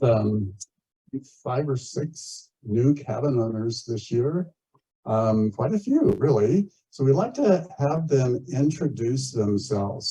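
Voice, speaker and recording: A man's voice: medium pitch, warm tone, husky, rough recording. A man casually hosting a community meeting and inviting people to speak. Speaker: meeting host.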